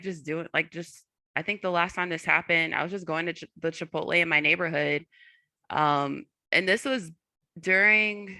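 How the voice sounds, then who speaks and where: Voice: medium pitch, gravelly, pushed and bright, dry recording. A young adult clinician speaking candidly on a panel, earnest, with many fillers.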